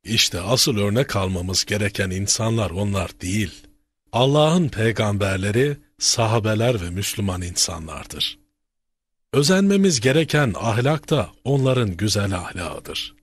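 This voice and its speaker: A man voicing a kindly, pious old grandfather in a children's cartoon, teaching in a gentle, measured, didactic way with a husky, aged voice. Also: fairly low pitch, lively intonation. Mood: earnest, instructive.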